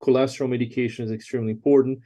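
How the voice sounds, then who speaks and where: A man's voice: mid-range voice, flat delivery, rapid-fire, warm tone. A man speaking as an expert doctor, explaining things conversationally and often checking in with the listener. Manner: explanatory, frequent check-in tags.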